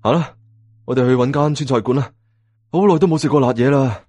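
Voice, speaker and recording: A man voicing a genial but calculating middle-aged Cantonese gang boss, hearty and deliberate, in an audiobook. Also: medium pitch, a little mellow, no room sound, close to an everyday voice. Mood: casual, decisive. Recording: music bed behind voice.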